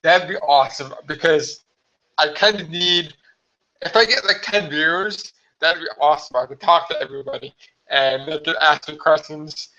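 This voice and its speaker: A hoarse man chatting casually on a live stream from home, rambling with many fillers. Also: a little high, moderate pace, pushed and bright. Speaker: vlogger hosting a live stream. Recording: slightly dull lo-fi live stream audio.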